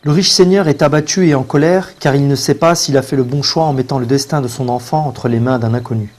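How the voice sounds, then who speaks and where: A man's voice: medium pitch, fairly quick, darkish tone. A man narrating a scripted story as its narrator.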